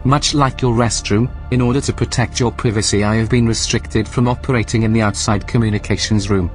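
A man playing a calm, polite station computer in a sci-fi audio drama, speaking in a measured, formal, matter-of-fact way. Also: on the low side, soft background music, close to an everyday voice. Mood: unruffled.

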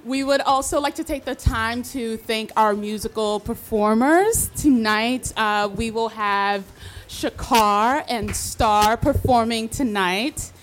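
A woman's voice: a little high, slow pace. A woman co-hosting a live show with casual introductions, speaking informally. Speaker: show co-host. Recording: noisy live venue recording, glitchy sound.

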